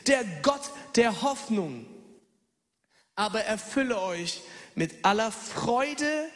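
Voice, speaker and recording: High-voiced man preaching in a reverberant hall. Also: lively intonation, slow, soft background music. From Germany. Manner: swings from loud preaching to hushed near-whispers, talks straight to the listener. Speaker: pastor. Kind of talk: lively sermon with personal stories.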